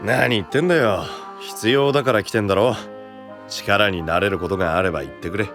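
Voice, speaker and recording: A man playing a brash, good-hearted young knight who talks rough and blunt in an anime-style voice drama. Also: on the low side, a little fast, fairly bright tone, soft background music, close to an everyday voice. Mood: friendly, frank. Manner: rough, blunt, casual tough-guy speech.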